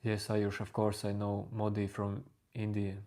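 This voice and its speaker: A man with a Latvian accent, hosting a livestream and calmly answering chat questions about self-inquiry. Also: on the low side. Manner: unhurried, even, reading out chat names. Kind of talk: slow calm spiritual chat.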